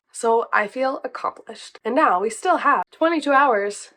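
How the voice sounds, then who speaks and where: A woman's voice: a little high, lively intonation, unhurried, bright, forceful tone. A young woman with a slightly stuffy voice from a cold, chatting in an upbeat, casual way as a book vlogger. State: stuffy, a little sick. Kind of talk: casual vlog chat.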